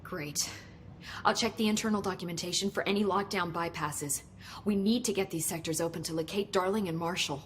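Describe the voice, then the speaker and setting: An eager, curious woman scientist played by an actress speaks with a slightly low voice. She talks earnestly, hurrying through some phrases and slowing on others. Clear ambient noise runs under the game scene. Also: natural voice.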